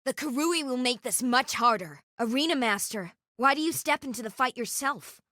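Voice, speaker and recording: A fierce, bright-voiced female interrogator. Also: high voice, expressive, moderate pace, no room sound, clean studio sound. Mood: scornful. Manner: clipped, commanding, threatening. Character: stern vengeful female interrogator, commanding and coldly threatening. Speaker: game character voice. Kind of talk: video game voice lines.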